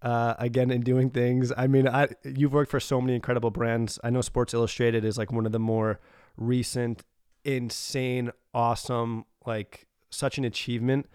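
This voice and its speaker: Man, podcast host, crisp smooth voice, dry close sound, upbeat and affirming. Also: mid-range voice, little pitch movement, normal speed, darkish tone, no room sound. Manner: upbeat, affirming, lots of fillers. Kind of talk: casual interview chat.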